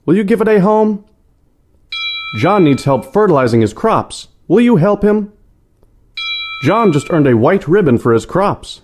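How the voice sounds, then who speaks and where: A man's voice: high voice, big pitch swings, a little slow, long pauses, a little mellow, a little hiss or hum. A man playing a chirpy farm-game notification announcer in an audio play, with perky, repetitive announcing. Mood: eager.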